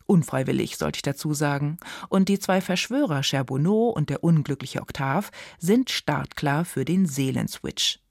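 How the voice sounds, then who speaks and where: A woman presenting a literary reading on radio, with scripted, lively and slightly wry delivery. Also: low voice, moderate pace, vocal fry, few pauses, dry recording, clean studio sound. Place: dry studio.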